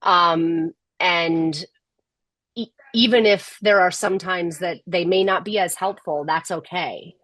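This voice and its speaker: Woman on a podcast, low voice, dry close mic, candid and kinda measured. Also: steady tone, fairly bright tone, no room sound. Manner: candid, measured, with frequent restarts. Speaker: podcast guest, tech executive. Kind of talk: casual interview conversation.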